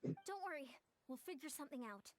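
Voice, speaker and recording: A woman voicing a confident, decisive female warrior leader in a video game, brisk and commanding. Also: high voice, lively intonation. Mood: assured. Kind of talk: video game character dialogue.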